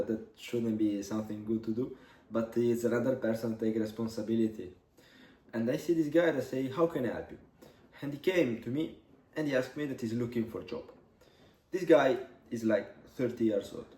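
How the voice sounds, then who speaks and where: Young Italian man, halting roomy vlog. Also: medium pitch, warm tone. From Italy. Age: 20s. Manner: halting, many "uh" pauses, non-native phrasing. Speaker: vlogger. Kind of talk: casual storytelling to camera. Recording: echoey room, mic at a distance.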